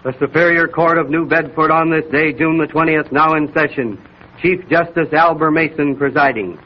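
A man's voice: fairly high pitch, normal speed, natural voice. An actor playing a formal male court crier, declaiming officially in 1930s radio style. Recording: muffled, noisy 1930s radio recording.

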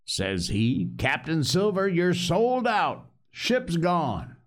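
A man's voice: a little high, wildly swooping pitch, slow, pitched above the performer's own voice, heavily acted. A man voicing a cunning old male pirate captain who talks in wheedling nautical slang. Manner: sly, gracious, nautical slang.

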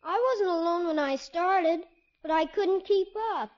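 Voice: very high-pitched, measured pace. A performer playing a small, scared, lost little boy in a radio sitcom, plaintive and simple in speech. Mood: timid. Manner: plaintive, simple short answers. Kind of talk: 1950s radio sitcom acting. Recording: dull, very noisy 1950s radio recording.

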